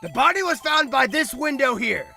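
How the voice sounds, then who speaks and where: An eager, blustery detective, played by a man for a courtroom game fan dub. His high voice is gravelly and bright, barking out deferential answers and getting flustered easily. Faint music plays behind him. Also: big pitch swings, moderate pace, full character voice. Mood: earnest report. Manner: barking, deferential, stammering when challenged.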